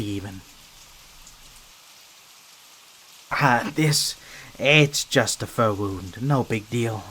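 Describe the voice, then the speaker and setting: A wisecracking gangster rat, acted out by a man in an ASMR roleplay, with a medium-pitched male voice. He is a scrappy, wounded henchman, wary and chatty, talking in street slang with hesitant little asides. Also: fairly bright tone, breathy edge, rough recording. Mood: strained, pleading.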